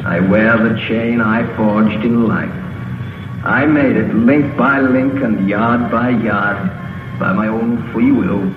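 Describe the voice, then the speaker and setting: An actor playing the mournful ghost of a dead businessman in a vintage radio drama, declaiming gravely through a ghostly reverb. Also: on the low side, takes it slowly. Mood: solemn. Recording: muffled reverberant old radio broadcast, music behind.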